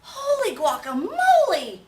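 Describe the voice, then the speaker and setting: A woman's voice: extremely high pitch, huge pitch swings, slow pace, a little mellow, voice pushed up, exaggerated voice. A woman voicing a playful, boastful little boy kitten who poses as a Chihuahua hero, with dramatic exclamations and sing-song rhymes. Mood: excited, bouncy. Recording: roomy, distant mic with faint noise.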